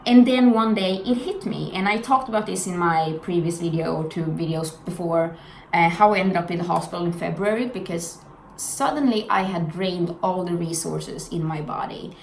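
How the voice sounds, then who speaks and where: Young Swedish-accented woman vlogger, frank and earnest; low-ish voice, echoey room. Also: moderate pace, vocal fry. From Sweden. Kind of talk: candid personal commentary. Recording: echoey room with faint noise.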